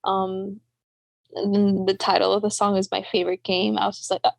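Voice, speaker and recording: Bubbly gushing woman chatting about books, bit of vocal fry, really dry sound. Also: medium pitch, flat delivery, fairly quick, no echo. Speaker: book club podcast co-host. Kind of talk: casual book chat.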